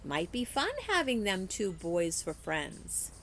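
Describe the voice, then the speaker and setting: A woman reading the part of a calm, wise old woman in a storybook, giving gentle, plain-spoken advice. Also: fairly low pitch, takes it slowly, slight background noise, heavily acted. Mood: gentle, musing.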